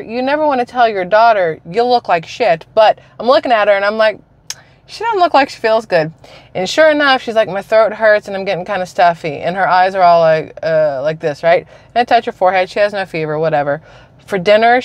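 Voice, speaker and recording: A woman's voice: medium pitch, dry recording. Chatty mother vlogging from her parked car. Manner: candid, rambling, storytelling with quoted voices. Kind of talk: casual chatty vlog. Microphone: camera mounted on the dashboard.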